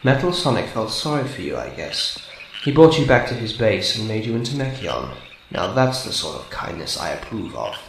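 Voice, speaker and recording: A man's voice: fairly low pitch, big pitch swings, moderate pace, gravelly. A man voicing a sadistic, taunting robot hunter in an amateur radio drama, needling with mock sweetness. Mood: smug. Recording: roomy sound with faint noise.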